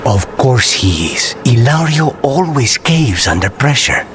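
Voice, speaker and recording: A man's voice: mid-range voice, very animated, a little slow, a bit bright, a little hiss or hum. A man voicing a brooding, courteous assassin with a dry, guarded manner in a video game cutscene. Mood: soft, reflective.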